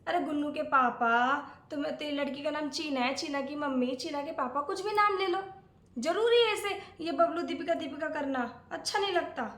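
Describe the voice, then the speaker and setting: A woman's voice: high voice, moderate pace, fairly bright tone. A woman playing a scolding, self-righteous, old-fashioned older aunt in a dramatic, chatty domestic comedy skit. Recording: echoey room.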